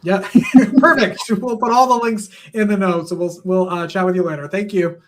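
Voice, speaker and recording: High-voiced man hosting a casual chat. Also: steady tone, moderate pace, slight background noise. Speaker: podcast host.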